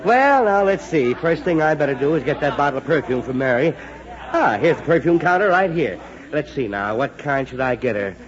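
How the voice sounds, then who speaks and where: A man's voice: a little high, expressive, fairly quick, a crowd in the background, natural voice. A man playing a flustered, blustering middle-aged father in a 1940s radio comedy, stammering and exclaiming. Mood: musing. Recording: muffled, noisy 1940s radio recording.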